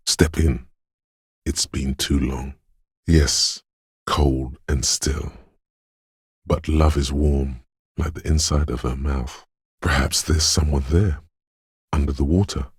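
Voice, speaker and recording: Game inner voice of intuition: extremely low, heavily rasping, dreamlike and ominous, lingering on poetic phrases. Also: male voice, dry recording, studio quality, heavily acted. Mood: dreamy, melancholic. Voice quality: husky, gravelly bass. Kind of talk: atmospheric second-person video game narration.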